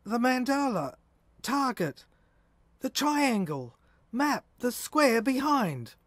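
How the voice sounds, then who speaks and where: A man acts out a feverish, haunted male visionary in a poetic audio drama. His voice sits high and moves slowly, in halting, incantatory fragments that often repeat. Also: sing-song, fairly bright tone, no room sound, exaggerated voice. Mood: urgent, fevered.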